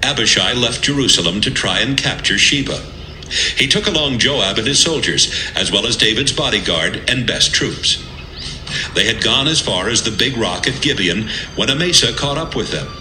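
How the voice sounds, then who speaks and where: A man narrating scripture from a script in a measured, dramatic storytelling manner. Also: mid-range voice, expressive, sharp tone, slight background noise. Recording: echoey, music bed behind.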